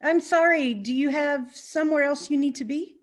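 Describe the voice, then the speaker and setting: A woman's voice: high voice, moderate pace, lightly acted. A woman playing a polite, awkward interviewer in a comedic mystery, hesitant and apologetic. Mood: awkward, nervous. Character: polite, awkward, nervous female interviewer investigating a disappearance. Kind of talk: comedic mystery acting in an online play.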